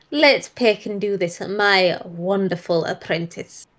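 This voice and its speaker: A woman's voice: medium pitch, slow, a bit bright, pitched below the performer's own voice. A woman playing an eager, playful female monkey detective who mentors an apprentice and talks in folksy mild oaths. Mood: gleeful, confident.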